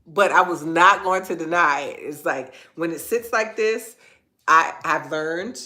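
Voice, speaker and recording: A woman's voice: sits low, a little slow, bright, forceful tone. A woman hosting an inspirational faith-based livestream, earnest and repeating phrases for emphasis, her nose stuffy and congested. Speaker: host of a live online talk.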